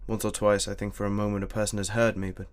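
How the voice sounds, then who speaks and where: A man's voice: fairly low pitch, level tone, fast, clear, resonant tone, close to an everyday voice. A man playing a grieving older general in a radio play, formal, earnest and wounded. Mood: despairing, lonely. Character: grieving retired general, older widower, hounded and despairing.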